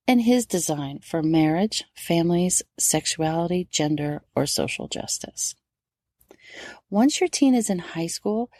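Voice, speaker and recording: A woman's voice: lowish voice, creaky, dark tone, no room sound, studio quality. A middle-aged woman hosting a podcast solo, giving instructive advice and speaking directly to her listeners. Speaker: homeschool podcast host.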